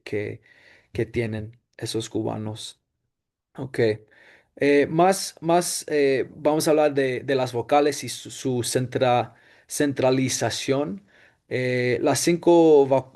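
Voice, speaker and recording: Slow, hesitant young man presenting. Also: medium pitch, darkish tone. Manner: hesitant, many fillers and restarts; mixes English "so" and "ok" into his talk. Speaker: student presenter. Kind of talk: class presentation.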